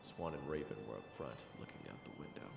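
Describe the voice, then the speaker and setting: A man's voice: extremely low pitch, lively intonation, brisk, creaky, reverberant, in a large hall, music in the background. A man reading scripted second-person story narration as the narrator of a fantasy audio roleplay.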